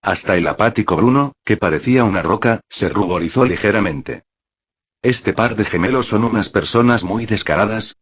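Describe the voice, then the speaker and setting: Hombre de voz muy grave, narrando. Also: male voice, on the low side, breathy edge. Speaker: audiobook narrator. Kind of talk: scripted story narration. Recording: muffled, roomy, lo-fi audio.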